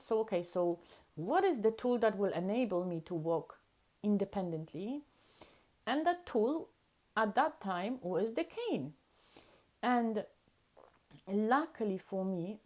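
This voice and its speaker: A woman's voice: medium pitch, mellow. A woman with a Polish accent, speaking as a vlogger in an earnest, reflective talk to camera and repeating words for emphasis.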